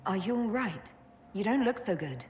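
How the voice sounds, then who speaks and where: Actress as an earnest, echoing heroine. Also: female voice, medium pitch, animated, normal speed, darkish tone, slight background noise, lightly acted. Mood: concerned. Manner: direct, earnest. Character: earnest, determined, caring female hero. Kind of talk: video game cutscene acting.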